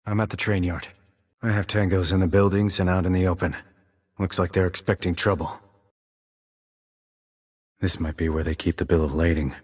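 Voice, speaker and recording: A man voicing a gruff military sniper in a video game, giving clipped, deadpan, controlled lines in a low, husky voice. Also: normal speed, long pauses, in a large hall. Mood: cool, focused. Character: gruff male military sniper operative, cool and businesslike. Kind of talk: video game voice acting, terse radio reports.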